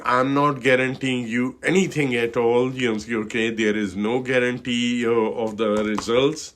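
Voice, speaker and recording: A middle-aged man from India with an Indian accent, presenting a webinar as a financial advisor in a halting style full of fillers like "you know, okay". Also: mid-range voice.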